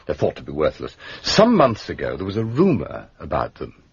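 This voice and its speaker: An actor with an English accent playing a courteous, wry gentleman detective in a radio drama, understated and politely hesitant. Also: very deep voice, huge pitch swings, moderate pace, gravelly, husky, no room sound, highs cut off, full character voice. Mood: polite, measured. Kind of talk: British radio drama acting.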